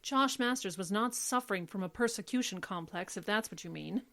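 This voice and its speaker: A woman's voice: mid-range voice, brisk, fairly bright tone, lightly acted. A woman playing a determined, loyal defense attorney in an old-time radio drama, speaking firmly and insistently. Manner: firm, insistent.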